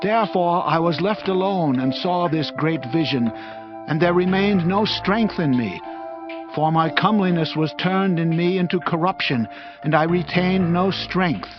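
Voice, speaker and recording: A man's voice: high-pitched, unhurried. An actor playing a devout, humble prophet in a dramatized scripture reading, earnest and reverent as he declaims. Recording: slightly dull sound, music underneath.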